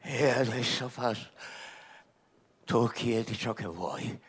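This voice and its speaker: A sly devil from a classical verse radio drama. He speaks at a medium pitch and very slowly, with a measured, insinuating manner. The delivery is theatrical and patient, that of a tempter after a soul. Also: slightly rough. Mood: careful, insinuating.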